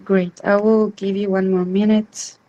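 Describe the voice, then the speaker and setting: A woman's voice: mid-range voice, level tone, a little slow, warm tone, muffled recording. A woman in her thirties from Israel, hosting an online support group in a welcoming, reassuring way over a video call. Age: 30s.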